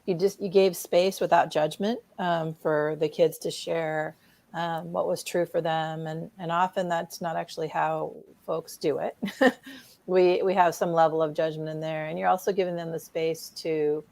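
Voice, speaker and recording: Low, creaky-voiced woman hosting. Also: little pitch movement, normal speed, a little mellow. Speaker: show host. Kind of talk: conversational interview hosting.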